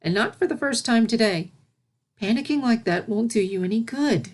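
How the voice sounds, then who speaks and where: A woman voicing a patient, gentle royal pony princess who teaches and consoles her pupil in a storybook reading. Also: fairly high pitch, lively intonation, voice pushed up. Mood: soothing. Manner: measured, instructive, consoling.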